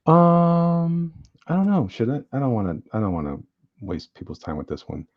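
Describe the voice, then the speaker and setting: A man chatting casually as an artist guest on a live stream, low-key and self-effacing. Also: expressive, normal speed, muffled recording. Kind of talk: casual chat.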